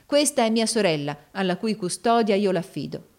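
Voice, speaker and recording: A woman voicing a righteous, defiant young gentleman in an audiobook novel, emphatic, declamatory and commanding. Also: medium pitch, moderate pace, lightly acted. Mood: urgent commanding.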